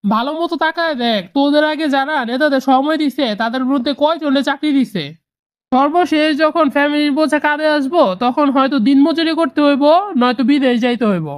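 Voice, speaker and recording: Woman voicing a high-pitched scolding mother. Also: steady tone, brisk, dry recording, natural voice. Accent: Bangladeshi. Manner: nagging, admonishing. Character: scolding, worried mother of a grown son. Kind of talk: cartoon dialogue.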